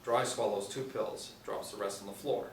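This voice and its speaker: Low-voiced middle-aged man narrating in roomy space. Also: fairly even intonation, normal speed. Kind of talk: scripted narration of screenplay action. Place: small indoor room, seated at table read. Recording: roomy, distant mic, noisy ambience.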